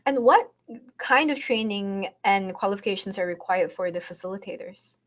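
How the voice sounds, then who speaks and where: A woman's voice: mid-range voice, moderate pace, highs cut off. A woman moderating a webinar, reading out audience questions in a light, friendly way over a video call. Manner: light, friendly, laughs briefly.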